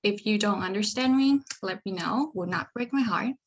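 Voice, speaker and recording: A woman's voice: mid-range voice, moderate pace. A woman from Vietnam with a Vietnamese accent, a PhD student giving a friendly online presentation over a video call.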